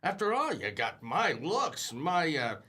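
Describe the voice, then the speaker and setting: Bumbling father, played by a man, bright tone, lively swooping pitch, hesitant. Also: a little slow, breathy edge, heavily acted. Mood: blustering. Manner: fumbling, hesitant, blustering. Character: bumbling, blustery working-class husband and father. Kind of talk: comic radio drama acting.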